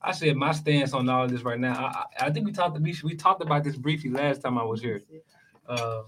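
A man with a medium-pitched voice, talking as a guest on a casual show. His speech is slangy and noncommittal, peppered with short interjections, over faint background noise. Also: fairly quick, a bit bright. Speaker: show guest, writer and creator. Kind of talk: casual chat.

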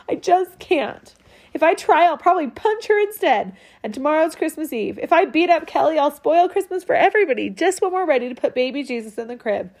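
A small boy, tearful and crying, his voice pushed high and tight as he pleads. He sniffles and chokes over his words, as a woman reading the story puts him on. The voice rises well above her usual register. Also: a little mellow. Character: young boy, tearful and upset.

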